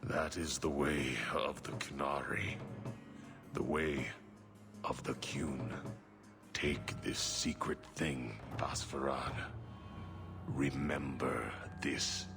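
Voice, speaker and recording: Casting: devout giant mage, rock-bottom pitch, drawn-out halting delivery, grave and resigned, fantasy game. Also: male voice, steady tone, music in the background, exaggerated voice. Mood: solemn, resigned. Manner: halting, solemn, formal. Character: solemn huge warrior-mage, resigned and devout. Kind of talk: video game cutscene acting.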